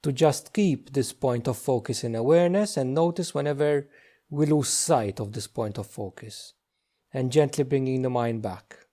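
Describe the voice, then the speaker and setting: A man leading a guided meditation as a teacher, speaking gently and slowly with long pauses. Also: mid-range voice. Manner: slow, hushed, gentle delivery with long pauses.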